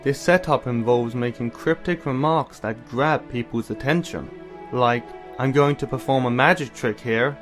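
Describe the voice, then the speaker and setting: Man acting a calm, bookish older brother. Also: mid-range voice, close to an everyday voice. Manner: measured, explanatory, slightly formal. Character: calm, caring, bookish older brother who explains things. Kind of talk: anime-style audio drama acting.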